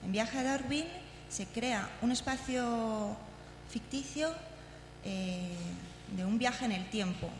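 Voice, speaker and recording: A woman from gives an informal talk introducing audio clips, haltingly and repeating words as she explains. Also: a little high. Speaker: conference speaker. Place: large indoor congress hall. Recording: echoey hall, long reverb, faint noise.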